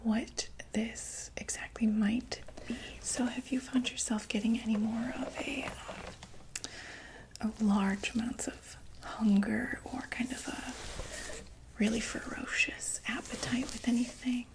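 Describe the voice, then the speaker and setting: A young woman whispering softly as a doctor in a close-miked ASMR roleplay, reassuring and full of small fillers. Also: on the high side, fairly even intonation, rough recording. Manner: soft, slow, reassuring bedside whisper with many fillers. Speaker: ASMR creator playing a monster doctor.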